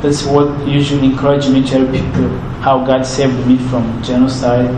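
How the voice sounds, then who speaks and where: A young man in his twenties with a Rwandan accent, a native Kinyarwanda speaker, answering interview questions earnestly and haltingly, often repeating words as he searches for them. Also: medium pitch, monotone. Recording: roomy with background noise.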